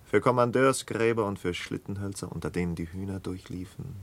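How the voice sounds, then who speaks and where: An actor playing a brooding, haunted killer who confesses in halting fragments in a literary radio drama, sometimes close to whispering. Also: male voice, deep voice, a little hiss or hum. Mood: bitter, haunted. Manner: halting, intense, dropping into near-whispers.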